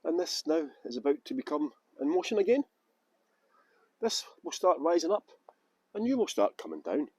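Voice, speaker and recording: Animated scottish tour guide man. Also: a little high, normal speed, long pauses, breathy edge, dry recording. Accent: Scottish, Edinburgh. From Scotland. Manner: jokey, playful mock pronunciations. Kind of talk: live tour guiding commentary. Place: outdoors by a canal boat lift.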